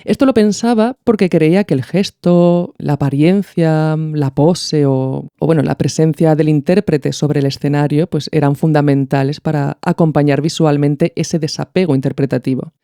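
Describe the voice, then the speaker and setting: Low, mellow-voiced Spanish woman, thoughtful. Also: normal speed, few pauses, no room sound, clean studio sound. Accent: Peninsular Spanish. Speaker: podcast host. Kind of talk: reflective commentary with a literary reading.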